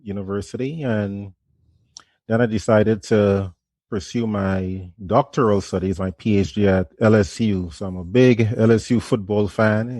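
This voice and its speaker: Soft-spoken Trinidadian professor, low voice. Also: male voice, dry recording. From Trinidad and Tobago. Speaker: management professor, guest. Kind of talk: radio interview.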